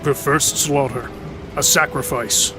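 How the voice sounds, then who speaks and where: A man playing an irreverent smith and lore keeper explaining dark history in a fantasy audio drama. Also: mid-range voice, steady tone, slow, over music, lightly acted. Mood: ominous.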